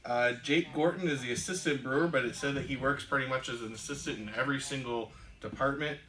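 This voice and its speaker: A man co-hosts a casual podcast and tells a long, rambling story, full of "um"s and "uh"s. His voice is bright and clear, at a middling pitch. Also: fast, rough recording. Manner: rambling storyteller with many fillers. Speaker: podcast co-host. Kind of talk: casual chat.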